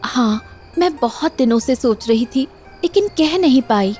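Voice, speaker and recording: High-voiced earnest young village wife, acted by a woman. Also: lively intonation, unhurried, dark tone, soft background music. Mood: shy, hopeful. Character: sincere, hopeful but hurt young wife in a village. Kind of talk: cartoon moral story voice acting.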